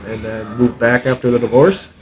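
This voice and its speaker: A man's voice: mid-range voice, fairly even intonation, a little fast, dull audio, a crowd in the background. A man in his forties from Texas, a soldier talking about his life in a casual podcast chat, blunt and jokey, swearing freely. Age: 40s. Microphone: podcast mic.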